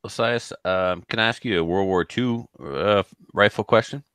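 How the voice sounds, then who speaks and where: A man asking questions as a podcast host, casual, with hesitant, halting phrasing. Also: on the low side, a bit bright, clean tone, no room sound.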